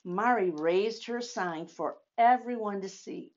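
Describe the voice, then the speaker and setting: A woman hosts a children's storytime at an unhurried, very slow pace. She speaks straight to her young listeners, asking them questions and leaning on key phrases. Her pitch is average for a woman, and the recording sounds a little dull and lo-fi. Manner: addresses children directly, with questions and emphatic phrasing. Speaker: children's storytime host at a church.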